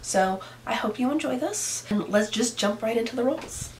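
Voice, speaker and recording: A young woman with a hoarse voice, recovering from an illness, chatting casually in a reading wrap-up vlog and counting dice rolls aloud at home at night. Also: a little high, lively intonation, moderate pace, over loud music. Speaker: book vlogger.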